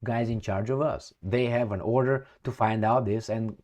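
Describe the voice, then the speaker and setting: A man's voice: on the low side, steady tone, normal speed, darkish tone. A middle-aged man from Romania with a Romanian accent, ranting as a political commentator, sarcastic and profane and full of rhetorical questions.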